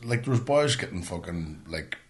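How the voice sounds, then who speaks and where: A man's voice: low voice, lively intonation, normal speed. A middle-aged man from Northern Ireland with a Northern Irish accent, telling stories in casual, sweary chat as a podcast host. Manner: blunt, sweary.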